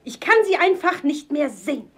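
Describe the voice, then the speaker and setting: A man voicing a vain, proud queen in a high falsetto, speaking in rhymes for a fairy-tale audio play. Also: animated, moderate pace, a bit bright. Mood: commanding. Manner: high falsetto, imperious rhyming speech.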